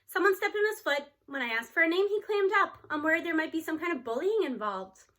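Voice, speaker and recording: A woman's voice: very high voice, animated, brisk, bright, forceful tone, voice pushed up, heavily acted. A woman voicing a concerned, chatty school nurse speaking over the intercom in a children's storybook.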